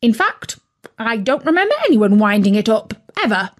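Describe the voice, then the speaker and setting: A woman's voice: fairly high pitch, sing-song, moderate pace. A woman voicing an impatient, hungry male turkey who complains indignantly.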